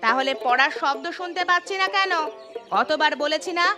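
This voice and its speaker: A woman voicing a protective, scolding village mother in an animated folk tale, speaking with rustic exclamations. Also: high voice, fairly quick, over music, close to an everyday voice. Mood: suspicious, stern. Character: strict, scolding village mother, protective but soft-hearted.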